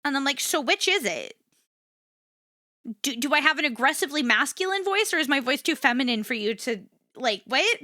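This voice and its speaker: A woman livestreamer chatting casually and candidly to her viewers, swearing freely and repeating herself for emphasis, in a versatile but slightly rough voice. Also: expressive, moderate pace, bright, forceful tone, dry recording, clean studio sound. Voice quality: versatile voice, swinging between low and high. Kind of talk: casual rambling chat to an audience.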